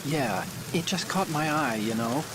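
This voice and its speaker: Hesitant, earnest hacker in the rain. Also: male voice, fairly high pitch, expressive, a little slow. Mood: uneasy, confiding. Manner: hesitant, stammering. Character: earnest, anxious male computer hacker, loyal support partner. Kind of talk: video game voice acting. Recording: rain noise behind.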